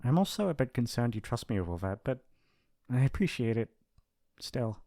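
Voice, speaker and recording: A man's voice: medium pitch, very animated, normal speed, dark tone, no echo, clean studio sound. A man playing a shy, apologetic young mafia boss's son in an intimate ASMR roleplay, with a hesitant, rambling, awkward delivery. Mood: tentative, teasing.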